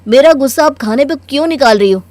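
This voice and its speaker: A woman's voice: high voice, animated, quick pace, a little mellow, no room sound. A woman voicing a dutiful young married son in a Hindi cartoon story, earnest and placating. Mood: pleading.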